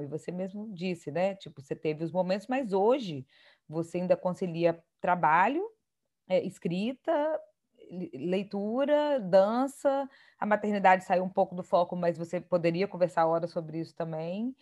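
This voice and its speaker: A woman's voice: on the low side, moderate pace, darkish tone. Brazilian woman in casual interview chat.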